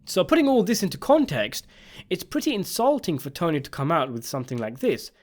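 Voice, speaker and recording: A man's voice: on the high side, wildly swooping pitch, fairly quick. A man narrating a scripted video essay, giving opinionated commentary on a television drama.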